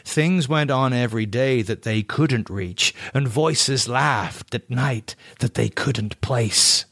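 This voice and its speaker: A man's voice: mid-range voice, slow, a bit bright, dry recording, studio quality. A man voicing a fervent, scornful painter obsessed with the macabre, declaiming with contempt in an audiobook horror story. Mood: urgent, secretive.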